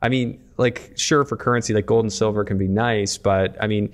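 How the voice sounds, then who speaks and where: A man hosting a podcast on investing, quick and agreeable, dropping frequent "yeah"s as he chats with his guest. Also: fairly low pitch, steady tone. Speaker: podcast host. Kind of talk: casual podcast conversation.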